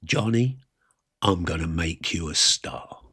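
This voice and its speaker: A man's voice: deep voice, expressive, slow pace, sharp tone, husky, no room sound, clean studio sound, full character voice. A man voicing a fat, cigar-smoking showbiz promoter of about fifty in a story, confident and persuasive. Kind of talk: character dialogue in a story reading.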